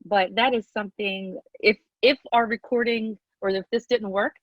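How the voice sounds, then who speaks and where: A woman's voice: mid-range voice, fairly even intonation, normal speed, a bit bright, no echo, rough recording. Chatty Southern New Jersey woman. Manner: chatty, laughs often, restarts. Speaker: guest speaker. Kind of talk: casual talk, online presentation. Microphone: video call.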